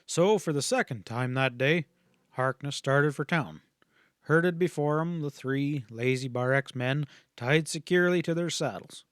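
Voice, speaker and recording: A man narrating a classic western novel as an audiobook, in a steady, even storytelling read. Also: a little high, unhurried, clear, resonant tone, no echo, clean studio sound. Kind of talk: scripted narration.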